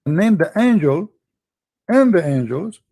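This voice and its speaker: A man's voice: sits high, very animated, measured pace, mellow, no echo. A man hosting a religious debate, pressing his points insistently and repeating himself in nonstandard English. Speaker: debate host.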